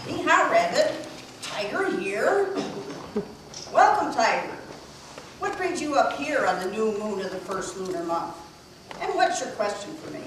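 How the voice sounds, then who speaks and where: A woman's voice: a little high, sing-song, unhurried, long pauses. A woman playing a gracious, teasing, wise moon goddess in an amateur puppet show, with playful storybook delivery. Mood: welcoming. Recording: echoey noisy room, far from mic.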